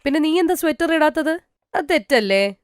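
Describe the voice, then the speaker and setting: A woman puts on a concerned schoolteacher for a cartoon story. She speaks in a raised pitch, questioning and gently chiding. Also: animated, moderate pace, no room sound, studio quality. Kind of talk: dramatised cartoon story dialogue.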